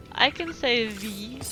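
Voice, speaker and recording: A woman's voice: fairly high pitch, sharp tone, poor audio. A young woman with a mixed European accent, chatting casually and reading letters aloud while streaming a game, laughing often. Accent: mixed European English. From British, raised elsewhere in Europe. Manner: chatty, giggly, many fillers. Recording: music playing behind.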